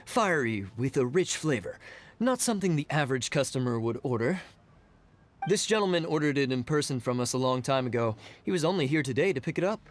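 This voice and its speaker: A man's voice: medium pitch, expressive, brisk, slight background noise, lightly acted. A man playing a shopkeeper in a video game, defensive at first, then eager to explain.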